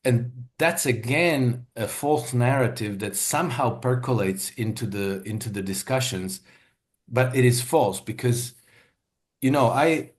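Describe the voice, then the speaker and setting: A man's voice: mid-range voice, a little slow. A man who grew up in Croatia, a market analyst guest, explaining his views in an interview with frequent fillers and emphatic stresses. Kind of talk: interview conversation.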